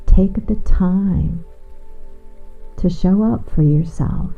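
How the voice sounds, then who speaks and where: A woman guides a sleep hypnosis meditation in a soothing, hushed manner, lingering over short phrases with long pauses. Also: on the low side, vocal fry. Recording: muffled, band-limited, with music and rain. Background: soft ambient music and gentle rain.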